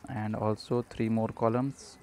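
A man presenting a step-by-step programming tutorial, speaking haltingly in short fragments. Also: fairly low pitch, flat delivery, a little mellow, slight background noise. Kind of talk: step-by-step screencast tutorial narration.

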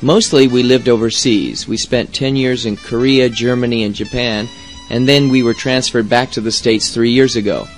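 A man's voice: mid-range voice, steady tone, moderate pace, faint music behind, close to an everyday voice, low-quality recording. A man playing a friendly young male university student in a scripted, conversational English lesson dialogue.

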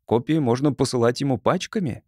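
A man's voice: mid-range voice, fairly quick, dark tone, no room sound, clean studio sound, lightly acted. A man voicing a scheming, sarcastic small-time entrepreneur in an audiobook, speaking emphatically and snappily. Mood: gloating.

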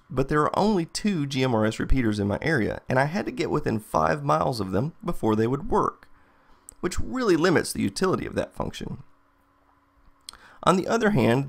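Product-test narrator: American man, matter-of-fact, lively pitch movement, slightly husky voice. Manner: plain, methodical, matter-of-fact. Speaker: product reviewer, channel host.

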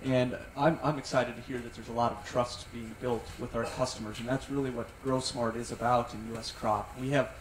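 A man's voice: mid-range voice, level tone, a little fast, hardly any pauses. A middle-aged man, a marketing director, speaking in a polished corporate way on a panel into a handheld mic in a tent. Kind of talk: panel discussion. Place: large tent at an outdoor event. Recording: roomy sound from some distance, faint noise.

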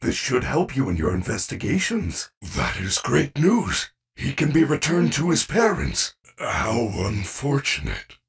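A man's voice: medium pitch, big pitch swings, a little slow, gravelly, hardly any pauses, husky, distant mic, heavily acted. A man voicing a friendly, caring animatronic bear in a video game, speaking in formal, precise, earnest sentences. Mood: calm, helpful. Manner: formal, precise wording without contractions, earnest. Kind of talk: video game character voice acting.

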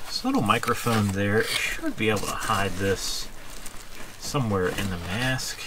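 A man's voice: on the low side, big pitch swings, a little slow. A man talking casually and off the cuff through an unboxing and product review, thinking aloud as he handles things. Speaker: haunted-house hobbyist reviewing gear. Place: indoors at a cluttered workbench. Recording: clearly noisy room recording with clicks and handling.

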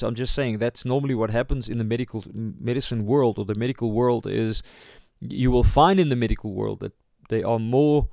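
A South African man teaches in the manner of an informal sermon. His voice is medium in pitch with a gravelly creak, recorded dry without room echo. He often gropes for the right word and corrects himself. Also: darkish tone. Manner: halting, searching for words, self-correcting. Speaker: pastor.

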